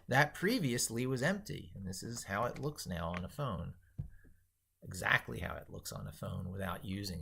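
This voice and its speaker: A man hosting a casual tech livestream, thinking aloud in a rambling, emphatic way with a gravelly, husky voice. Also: low-pitched, a bit bright. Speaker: livestream host.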